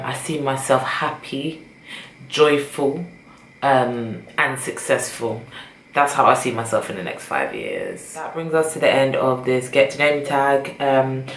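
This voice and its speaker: A young woman with a British accent, chatting casually and rambling to her camera. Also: a bass voice. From Britain. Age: young adult. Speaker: vlogger. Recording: echoey room with faint noise.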